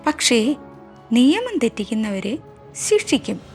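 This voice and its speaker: A woman storyteller narrating a scripted moral tale for children, expressive and carefully enunciated. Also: a little high, a little slow, faint music behind.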